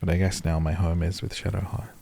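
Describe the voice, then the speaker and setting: A man's voice: low voice, flat delivery, fairly quick, vocal fry, mellow, husky. A man doing cozy, casual game commentary, musing and rambling as he plays. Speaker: video game streamer.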